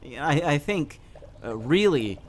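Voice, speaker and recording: A man playing an awkward, skeptical teenage boy in a comic dub, speaking haltingly with stammers. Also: fairly high pitch, expressive, darkish tone. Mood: hesitant, concerned. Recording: noticeable background noise.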